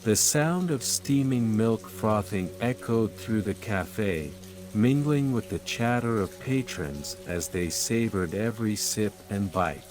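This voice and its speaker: Low, very slow male bedtime narrator. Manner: calm, measured, unhurried storytelling. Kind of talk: slow, soothing scripted narration of a sleep story. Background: calm music and rain sounds.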